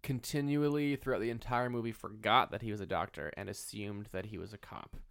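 A man's voice: lowish voice, a little fast, creaky, fairly bright tone, no room sound, clean studio sound. A man co-hosting a casual film chat, dropping wry, joking asides. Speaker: podcast co-host.

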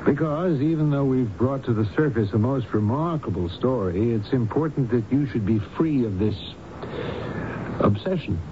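An actor playing a calm, authoritative doctor and hypnotist in a radio drama, speaking in a measured, reassuring, professional way. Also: male voice, close to an everyday voice. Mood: reassuring, explanatory. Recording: dull, noisy vintage radio broadcast with rumble.

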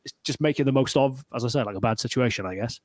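Fast-talking Welsh man, dry close sound. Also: mid-range voice, no room sound. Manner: rapid, rambling, full of fillers and restarts. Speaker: guitarist and YouTuber, guest. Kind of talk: casual podcast chat.

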